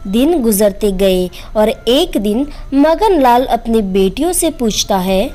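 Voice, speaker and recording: A woman narrates a scripted bedtime tale with a moral. Her pitch sits a little high, and she takes the story very slowly, line by line. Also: lively intonation, dark tone.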